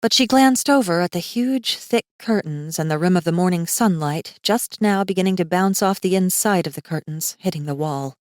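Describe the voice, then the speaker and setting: A woman narrates a fantasy audiobook in a scripted storytelling style. Her voice sits a little low, recorded close and dry with no room sound. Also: normal speed, few pauses, a little mellow, no echo.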